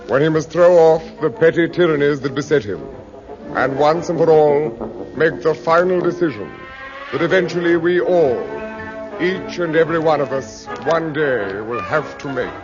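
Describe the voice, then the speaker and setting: A man's voice: a little high, moderate pace. A man announcing a 1950s radio show in a mock-solemn, oratorical style. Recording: dull 1950s radio recording. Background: music.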